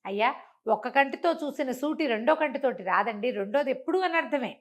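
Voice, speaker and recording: A woman's voice: high voice, brisk. An older woman telling a moral folk tale in an interview, acting out the characters' lines as she goes. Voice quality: animated, acts out the characters' lines within the telling. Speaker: storyteller.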